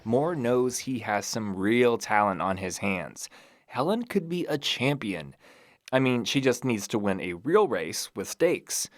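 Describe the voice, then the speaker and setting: A man's voice: medium pitch, big pitch swings, unhurried, dry recording. Man narrating a dramatic history podcast. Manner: dramatic, playful storytelling. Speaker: podcast host. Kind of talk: scripted documentary narration.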